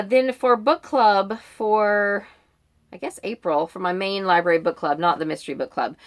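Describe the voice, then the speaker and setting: A woman with a fairly low-pitched voice talks casually, as a book vlogger would. Her delivery is informal and meandering, and she often breaks off her own sentences to add an aside. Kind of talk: casual chatty reading-list vlog.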